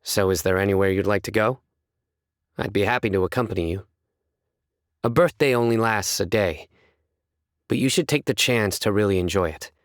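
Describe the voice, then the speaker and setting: A man voicing a stern, principled young judge in a video game, reserved, with measured, formal delivery. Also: fairly low pitch, no room sound, studio quality, close to an everyday voice. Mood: softened, sincere. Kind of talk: scripted video game character voice lines.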